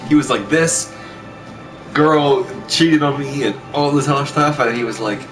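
A man's voice: mid-range voice, steady tone, a little slow, over music, low-quality recording. A young man bantering casually and crudely with a friend in a small bedroom, recorded by a camera a short way off. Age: young adult. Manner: crude, profane, teasing. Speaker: vlogger. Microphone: webcam or console camera a short way off.

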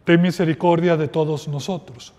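A middle-aged priest recites the prayers of Mass slowly and solemnly. His voice sits a bit high for a man. It rings out with the long reverb of a small chapel. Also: fairly even intonation, darkish tone. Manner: slow, solemn liturgical recitation.

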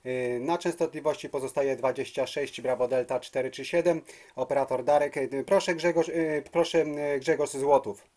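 A man's voice: mid-range voice, steady tone, moderate pace, a little mellow, a little hiss or hum. A man chatting casually as a CB radio operator, hesitating and repeating words. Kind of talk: casual radio contact chat. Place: at a home radio base station.

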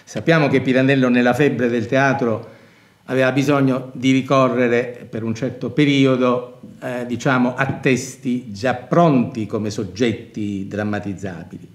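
A man's voice: mid-range voice, steady tone. Older scholarly man in a roomy space. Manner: scholarly, deliberate, hesitant searching for words. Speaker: university professor of performing arts. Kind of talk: reflective literary commentary. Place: dark indoor theatre stage set, seated at table. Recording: roomy, echoing stage sound.

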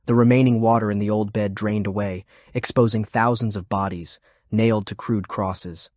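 A man's voice: lowish voice, steady tone, moderate pace, a little mellow, no echo, studio quality. A man reading scripted war fiction as an audiobook narrator.

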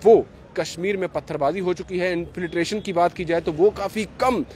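A man's voice: sits high, fairly quick, darkish tone. A man, reporting live and unscripted as a field news reporter, in a wordy, repetitive way. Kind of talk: live on-the-spot reporting, unscripted piece to camera. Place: outdoors on a roadside by a gate and flyover. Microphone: handheld reporter's microphone. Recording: street traffic noise outdoors. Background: street ambience and vehicles.

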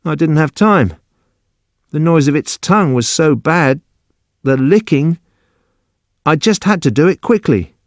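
Man putting on a high-pitched, jittery farmhand. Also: expressive, measured pace, long pauses, no room sound, studio quality. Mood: defensive, secretive. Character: nervous, strange younger farmhand, defensive and twitchy.

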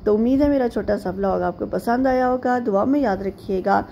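Chatty middle-aged woman, gravelly mellow voice. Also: mid-range voice, highs cut off, slight background noise. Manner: rambling, with frequent pauses and fillers; homely, chatty tone. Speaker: vlogger. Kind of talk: casual chatty voice-over narration.

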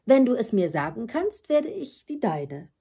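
A woman reading a storybook as a proud, demanding young princess, deliberate and hushed. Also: fairly high pitch, sing-song, vocal fry, breathy edge, exaggerated voice. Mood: haughty, deliberate.

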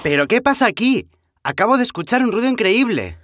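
A man's voice: extremely high pitch, sing-song, fairly quick, noisy background. A man playing an alarmed, outraged neighbour in a radio drama.

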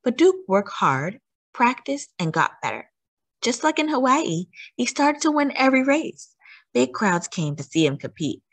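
A woman reading a children's storybook aloud as a storytime host, with expressive, emphatic delivery. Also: fairly high pitch, no echo. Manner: animated, emphatic delivery with drawn-out words.

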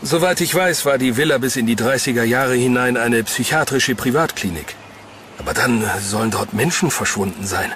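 A man's voice: mid-range voice, normal speed, a bit bright, slight background noise, close to an everyday voice. A man playing a gossipy, sceptical passer-by in a horror audio drama, chatty and knowing. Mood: chatty, puzzled. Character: sceptical, gossipy male passer-by, mildly mocking.

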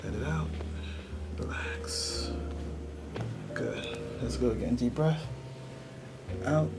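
A middle-aged man working as a chiropractor, chatting casually and giving calm instructions to a patient. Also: medium pitch, slow. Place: small treatment room. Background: music.

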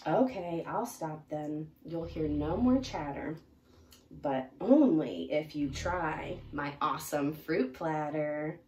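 A woman's voice: low-pitched, takes it slowly, warm tone, dull audio, voice pushed down. A woman voicing a cheerful fruit-loving piranha in a children's storybook, coaxing his friends in an eager, persuasive way. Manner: eager, persuasive, rhyming offers. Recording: roomy, distant mic, faint noise.

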